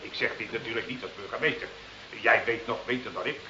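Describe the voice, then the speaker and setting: Sly, pompous male mayor, bright-voiced. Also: fairly low pitch, quick pace. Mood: insinuating. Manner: officious, hemming, insinuating. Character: sly, pompous, corruptible small-town mayor. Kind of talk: Dutch radio play acting. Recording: muffled, echoey old radio drama recording.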